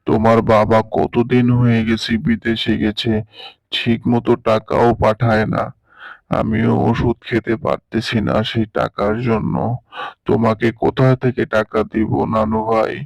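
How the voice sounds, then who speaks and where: A man's voice: lowish voice, monotone, measured pace, no room sound. Man doing a doting cartoon grandpa. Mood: weary. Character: gentle old grandfather and ice cream seller. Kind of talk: cartoon voice acting.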